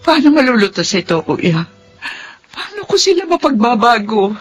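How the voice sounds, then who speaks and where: A woman's voice: fairly high pitch, very animated, moderate pace, breathy edge, faint music behind. An actress playing a heartbroken, plaintive middle-aged Filipino mother in a radio melodrama. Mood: hurt, despairing. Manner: emotional, plaintive.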